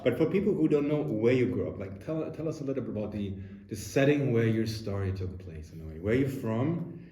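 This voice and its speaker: A man's voice: medium pitch, lively intonation, brisk, warm tone. A man hosting a podcast, reflecting on his past and asking long, winding questions in a casual interview. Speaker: podcast host. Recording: roomy echo with faint noise.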